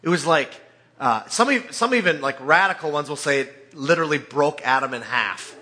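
A man preaching in an informal, conversational way, telling scripture like an animated storyteller with joking asides. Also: fairly high pitch, normal speed. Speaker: preacher or Bible teacher.

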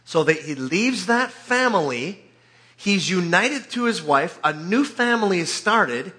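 A man preaching in an informal, conversational way, telling scripture like an animated storyteller with joking asides. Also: fairly high pitch. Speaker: preacher or Bible teacher.

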